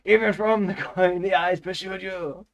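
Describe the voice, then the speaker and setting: Comic Frenchman, French accent, high and loud, excitable, theatrical storybook reading. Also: unhurried, gravelly. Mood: playful, theatrical. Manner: theatrical, with interjected "huh", "eh" and "oui".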